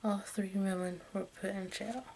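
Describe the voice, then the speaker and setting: A woman reading aloud in ASMR style in a soft, breathy whisper and hushed speech, halting as she goes. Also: medium pitch, steady tone. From United States. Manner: halting, stumbles over names and words. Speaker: ASMR video creator. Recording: faint background noise.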